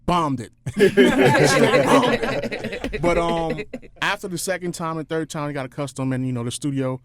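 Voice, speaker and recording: A man's voice: fairly high pitch, expressive, measured pace. A man, a voice actor, answering questions casually as an interview guest.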